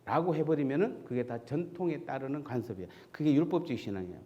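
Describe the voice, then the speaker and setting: A man's voice: medium pitch, sing-song, fast talker, warm tone, lo-fi sound. A man from Seoul, a pastor giving a conversational sermon in a church sanctuary, asking the congregation questions and answering them himself.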